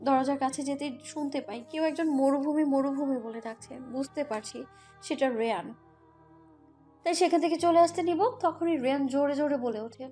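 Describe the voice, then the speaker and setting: A woman acts out a hurt young mother narrating a romantic audio drama in the first person. Her voice is very high, with a dramatic, scolding edge and a defiant temper. Music runs underneath. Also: moderate pace, mellow, close to an everyday voice. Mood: hurt narration. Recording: music bed behind the voice.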